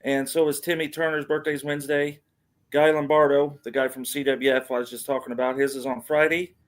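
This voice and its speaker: A middle-aged man hosting a podcast, casually reading out wrestling news and announcements in a halting, hesitant way. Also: medium pitch, level tone. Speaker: podcast host.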